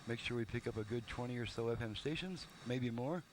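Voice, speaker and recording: A man's voice: medium pitch, a little fast, slight background noise. A man giving a casual, hands-on demonstration as a repairman, explaining step by step in a plain-spoken, folksy way. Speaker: car radio repairman and presenter.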